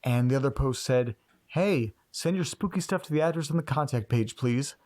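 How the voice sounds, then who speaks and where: A man's voice: medium pitch, moderate pace, a little mellow, lightly acted. A man acting as an anxious, self-doubting man caught up in eerie mysteries, speaking hesitantly and earnestly in a naturalistic horror audio drama. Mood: puzzled, intrigued.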